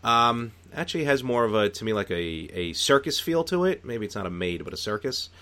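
A man's voice: medium pitch, expressive, brisk, pushed and bright. A man co-hosting a casual, unscripted podcast about retro games, rambling and correcting himself as he goes. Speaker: podcast co-host.

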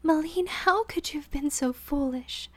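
Lady doing a caring maid friend, hushed, gently scolding. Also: a little high, little pitch movement, unhurried, no echo. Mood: worried.

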